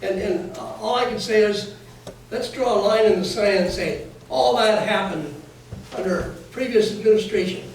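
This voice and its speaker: A man's voice: high-pitched, moderate pace, gravelly, darkish tone. An American man answering residents as an official at a community meeting, explaining things in a plainspoken, folksy way. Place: indoor community meeting room, recorded from afar. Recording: echoey room, distant mic, faint noise.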